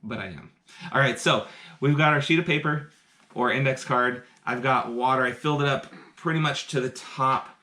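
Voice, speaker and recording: A man's voice: medium pitch, normal speed, pushed and bright. A man teaching science in a live-streamed lesson from home, talking chattily and eagerly as he works through hands-on experiments. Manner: enthusiastic, chatty, explains step by step while doing experiments. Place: home, indoors.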